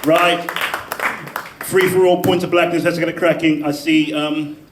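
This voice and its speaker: A man's voice: a little high, level tone, some chatter behind. A man moderating a live debate, teasing the audience and apologising as he moves things along. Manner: jokey, apologetic, brisk. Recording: noisy crowded echoey hall.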